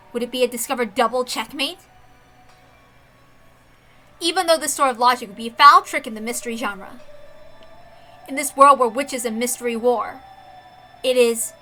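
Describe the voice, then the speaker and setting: A woman voicing a proud, intense young female detective with theatrical, deliberate delivery in a visual novel reading. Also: on the high side, sharp tone, poor audio. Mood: astonished, analytical.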